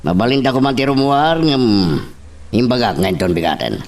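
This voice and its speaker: A man's voice: medium pitch, lively intonation, normal speed, faint music behind, natural voice. A man playing a guarded, deferential, hesitant local official in a radio-style drama.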